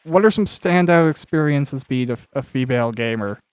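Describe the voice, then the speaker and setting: Male interviewer, smooth clean voice, reflective and roundabout phrasing, casual conversational delivery, dry recording. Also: medium pitch, lively intonation, moderate pace, no echo. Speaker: interviewer and writer of an audio series. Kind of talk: conversational interview while playing a game.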